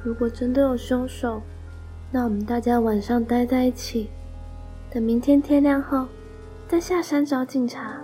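A woman acts out a conciliatory young female student in a radio mystery. Her voice is high and soft-toned, with a gentle temper. Faint music sits behind it. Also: fairly even intonation, moderate pace, natural voice. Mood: soothing. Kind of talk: mystery radio drama acting.

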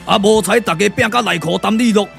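A man with a Taiwanese accent voicing an excitable, complaining warrior disciple in a puppet-theatre radio drama, gabbling and whining comically. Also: sits high, a little fast, gravelly, over music. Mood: dismayed, grumbling.

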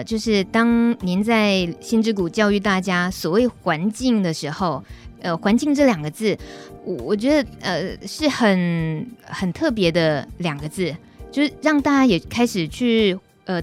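A woman's voice: medium pitch, animated, moderate pace, faint music behind. A woman with a Taiwanese Mandarin accent chatting casually as a radio host putting questions to a guest. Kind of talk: casual interview chat.

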